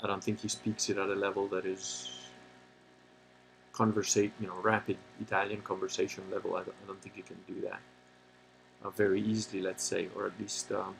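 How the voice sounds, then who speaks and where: A man with a low-pitched, gravelly voice talks loosely as a livestream host, wandering from point to point with frequent pauses and fillers. He turns blunt and opinionated when he takes a side. There is a faint hum of background noise behind him. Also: completely flat delivery, rough recording. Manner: rambling, halting, many pauses and fillers. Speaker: livestream host answering chat. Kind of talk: casual unscripted monologue.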